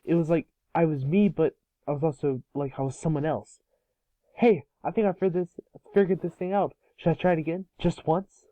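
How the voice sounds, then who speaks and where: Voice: mid-range voice, fairly even intonation, brisk, no room sound, close to an everyday voice. Someone playing a snarky young boy, muffled and phone-like. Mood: earnest, eager. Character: curious, snarky ten-year-old boy hero. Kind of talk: amateur cartoon table read.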